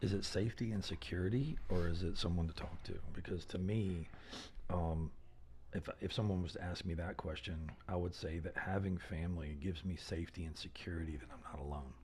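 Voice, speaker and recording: A man hosting a casual live-stream interview, enthusiastic and loose, cursing now and then as he thinks out loud. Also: sits low, steady tone, a little fast, slight background noise. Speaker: host.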